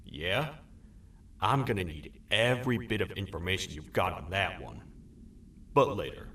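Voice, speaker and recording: An actor playing a gruff, stern toad sheriff in a video game, suspicious and commanding with a folksy lawman touch. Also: deep voice, animated, moderate pace, bright, forceful tone, exaggerated voice. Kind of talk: video game character acting. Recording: roomy echo, distant, music underneath.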